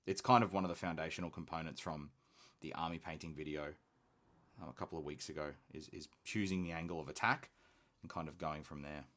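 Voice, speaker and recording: A very deep-voiced man from Australia with an unusually posh accent hosts a live hobby stream. He talks in a relaxed, chatty way as he paints. He is quick to poke fun at himself. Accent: posh-sounding Australian. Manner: relaxed, chatty, self-deprecating. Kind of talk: casual live-stream chat while painting.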